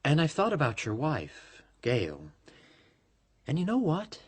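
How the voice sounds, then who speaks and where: Sentient android double: husky, highly inflected, resentful and lovesick, quietly menacing radio drama villain. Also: male voice, wildly swooping pitch, slow, heavily acted. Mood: brooding.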